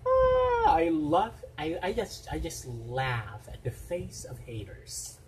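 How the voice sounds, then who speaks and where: A middle-aged man from the Philippines with a Filipino accent, excitedly reacting to a music video, laughing and whooping. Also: on the high side, slow, background noise. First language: a Philippine language other than Tagalog. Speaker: reaction vlogger. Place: small room indoors. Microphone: webcam with headphones worn. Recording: distant mic with song playing behind. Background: loud pop music from the video.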